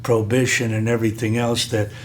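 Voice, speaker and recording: Older guy, kinda hoarse, rambly old story, lots of uh's, faint hum behind. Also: mid-range voice, flat delivery. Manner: rambling, frequent filler pauses. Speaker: interviewee. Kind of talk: oral history recollection.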